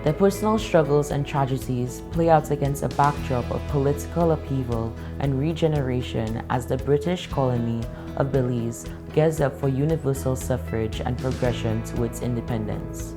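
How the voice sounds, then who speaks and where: A woman presenter reads a scripted introduction. Her voice is exceptionally deep. Plucked guitar music plays clearly behind her. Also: moderate pace, few pauses. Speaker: student presenter.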